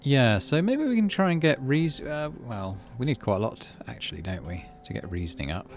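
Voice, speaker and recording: Lively-pitched man doing game commentary. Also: normal speed, faint music behind. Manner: thinks aloud, muttering to himself. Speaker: gaming channel host. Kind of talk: let's play commentary and read-aloud narration.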